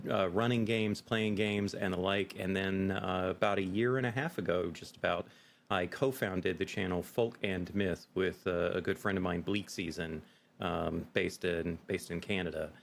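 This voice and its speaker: A man's voice: sits low, fairly even intonation, normal speed, gravelly. A middle-aged man with an American accent, talking casually as a guest in an interview. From United States. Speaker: podcast guest, game master.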